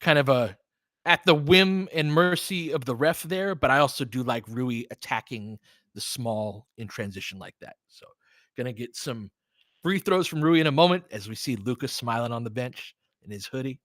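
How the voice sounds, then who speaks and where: A man's voice: mid-range voice, moderate pace, a bit bright, no room sound. Male play-by-play caller for basketball, mid-range voice, clipped running calls, casual fan asides, very dry recording. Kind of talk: live audio play-by-play commentary.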